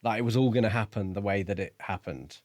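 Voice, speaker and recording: Male co-host for casual book talk, lowish voice, reflective and tentative, dry sound. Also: fast, a little mellow, dry recording. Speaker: podcast co-host.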